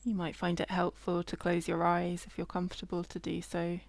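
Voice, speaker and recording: A woman leading a guided body scan meditation in a gentle, unhurried way, leaving long pauses between phrases. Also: lowish voice, monotone, vocal fry, dry recording.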